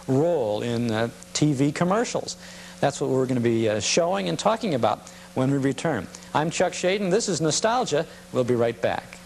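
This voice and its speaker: A man's voice: fairly high pitch, vocal fry, slight background noise. A man presenting casually as a television host in a chatty, nostalgic manner.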